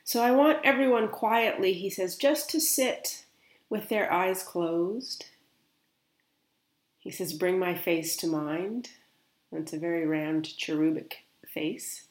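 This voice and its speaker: A woman speaking as a spiritual medium, gently and unhurriedly relaying another's words in a guided meditation. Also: on the low side, slow, reverberant.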